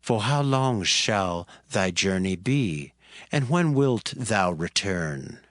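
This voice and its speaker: Grave, regal king: low, bright-edged voice, measured and commanding, for scripture drama. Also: very animated, no echo, clean studio sound, full character voice. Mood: solemn, questioning. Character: grave, regal Persian king questioning his servant. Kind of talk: dramatized Bible acting.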